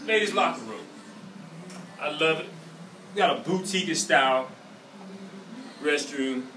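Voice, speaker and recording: A man's voice: on the high side, unhurried, noisy background. A middle-aged man with a Southern US accent giving a casual, boastful walking tour of his gym. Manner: upbeat, boastful salesman patter. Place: indoor gym, echoey rooms. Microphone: handheld camera following him. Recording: echoey camera audio from a distance with music. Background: music playing in the gym.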